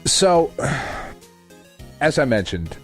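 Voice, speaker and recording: A man's voice: medium pitch, very animated, very slow, faint music behind. A middle-aged man co-hosting a podcast, talking casually about games. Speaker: podcast co-host. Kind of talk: casual gaming podcast chat.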